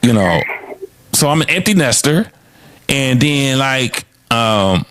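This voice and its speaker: Fifties male podcast co-host, swears freely and speaks frankly, with an animated, swinging delivery. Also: medium pitch, slow, no room sound. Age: 50s. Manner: blunt and profane, speaks frankly. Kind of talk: casual podcast chat.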